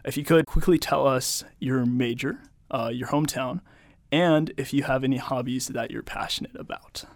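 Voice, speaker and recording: A man's voice: medium pitch, steady tone, normal speed, creaky, no room sound. A young man hosting a casual podcast, upbeat and enthusiastic as he questions his guests. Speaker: podcast host.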